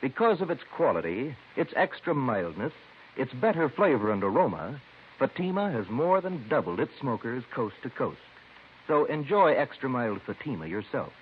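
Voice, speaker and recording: Animated American radio ad man. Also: mid-range voice, moderate pace. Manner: emphatic, polished selling delivery. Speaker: commercial announcer. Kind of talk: 1950s radio advertisement read. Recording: hissy, tinny old radio recording.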